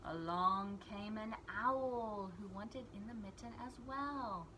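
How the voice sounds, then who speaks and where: Young woman, children's storyteller, very bright voice, slow simple phrasing, noisy room. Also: mid-range voice. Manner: deliberate, simple phrasing with emphasized key words. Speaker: children's read-aloud storyteller. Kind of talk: simplified picture-book read-aloud for young children.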